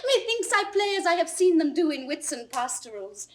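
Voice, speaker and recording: A woman playing a gentle, ardent young shepherdess in classical Shakespearean verse, with a British RP accent and lyrical, carefully enunciated delivery. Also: extremely high pitch, sing-song, moderate pace. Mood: playful, tender. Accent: British Received Pronunciation.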